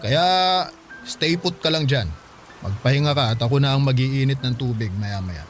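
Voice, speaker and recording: An actor playing a devoted, protective Filipino husband in a radio drama, earnest and fussing. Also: male voice, medium pitch, animated, moderate pace, music in the background, close to an everyday voice. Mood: caring. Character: devoted, protective husband, excitable soon-to-be father. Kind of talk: radio drama acting in conversational Tagalog.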